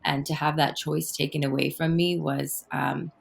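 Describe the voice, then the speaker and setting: A woman's voice: low voice, little pitch movement, normal speed, gravelly. A middle-aged woman guest born in Afghanistan and raised in Canada, speaking thoughtfully in a conversational interview with many fillers and restarts. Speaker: guest, activist and author.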